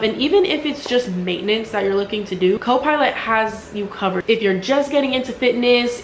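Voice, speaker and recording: A woman's voice: medium pitch, reverberant, slight background noise, poor audio. A woman in her twenties vlogging at home, talking casually to a camera a few feet away, a little congested. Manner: chatty, upbeat, frequent "like" fillers. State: slightly congested. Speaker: lifestyle vlogger. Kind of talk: casual vlog chat and read sponsor spot. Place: at home, apartment kitchen and room. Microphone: camera at a distance.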